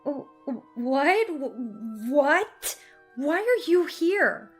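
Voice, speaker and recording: Woman acting an aggrieved, high-voiced mother. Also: sing-song, extremely slow, faint music behind, heavily acted. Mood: shocked. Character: aggrieved mother and wife, exasperated, pleading. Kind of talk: dramatized story narration.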